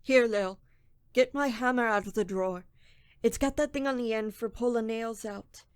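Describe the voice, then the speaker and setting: A woman's voice: on the high side, normal speed, clean tone, no room sound, studio quality, natural voice. A woman playing an earnest, guilt-haunted young man in a radio drama, pleading and emphatic. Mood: urgent.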